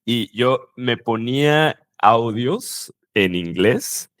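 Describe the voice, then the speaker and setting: A man who hosts a casual interview, relaxed and full of fillers and short replies. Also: mid-range voice, drawn-out words, clear, resonant tone, no room sound, studio quality. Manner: warm backchannels, relaxed filler-heavy talk. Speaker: host, language coach.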